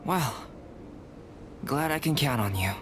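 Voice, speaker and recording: An actor playing a calm, soft-spoken young alchemist who is an artificial being, speaking in a measured, reflective way in a game cutscene. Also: male voice, on the high side. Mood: earnest. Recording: game soundtrack music behind.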